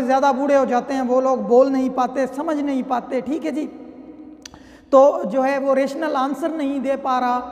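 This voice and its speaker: A Pakistani man speaks in a strikingly high voice, explaining material as a lecturer would to students. His delivery is conversational and instructive. The sound carries a long reverb, as in a large hall. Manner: conversational, reads clauses then explains them. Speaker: law lecturer. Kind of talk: explanatory lecture with worked examples.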